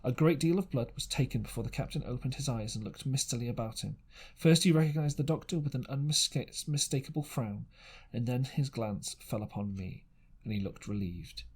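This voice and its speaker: A man with a husky, mid-pitched voice narrates an adventure story from a book. He reads as an amateur, a little haltingly, going back to fix his words. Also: a little mellow. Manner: halting reading with misreadings and corrections. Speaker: amateur audiobook reader.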